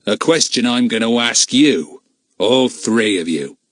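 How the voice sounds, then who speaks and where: A man voicing a cold, grim, menacing armed villain in an audiobook, with slow, deliberate threats. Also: low voice, very animated, a bit bright, slightly rough, no echo, voice pushed down, full character voice. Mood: cold menace.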